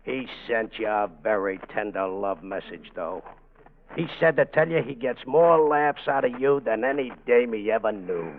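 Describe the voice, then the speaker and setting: Gravelly gangster henchman, tinny radio. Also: lowish voice, expressive, normal speed, a little hiss or hum. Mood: matter-of-fact. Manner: ungrammatical tough-guy slang. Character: slangy male gangster henchman, blunt and dim. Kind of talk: 1940s radio comedy acting. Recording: tinny, band-limited 1940s radio recording.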